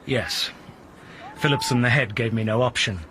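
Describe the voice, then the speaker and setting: An actor playing a former schoolteacher answering a police inspector with frank, rueful candor. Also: male voice, medium pitch, moderate pace, noisy background, close to an everyday voice.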